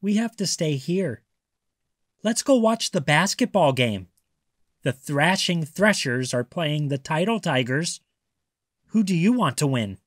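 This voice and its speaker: A man voicing a gentle mother shark in a storybook, patient, soothing and reassuring. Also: a little high, expressive, measured pace, no room sound, clean studio sound. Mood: coaxing.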